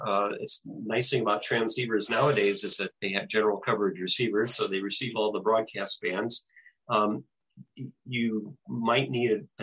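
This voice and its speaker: An older American man around seventy with a husky voice, giving an informal presentation in a halting, explanatory way over a video call. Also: fairly low pitch, pushed and bright. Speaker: presenter, shortwave broadcaster. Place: small home room with bookshelves, indoors, on video call. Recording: dull, lo-fi video call audio.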